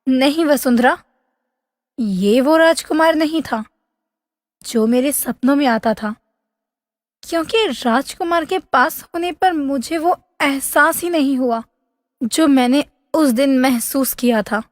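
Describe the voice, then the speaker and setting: A woman playing a young, dreamy princess in a Hindi fairy tale, speaking in a soft, expressive, dramatic way. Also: high voice, takes it slowly, dry recording, clean studio sound, big-room sound. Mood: wistful.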